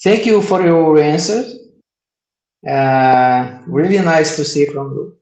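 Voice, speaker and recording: A man's voice: fairly high pitch, expressive, takes it slowly, darkish tone. A man from Brazil on a video call, talking hesitantly and searching for his English words. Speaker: participant asking questions. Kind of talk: casual chat on a video call. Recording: roomy echoing call audio.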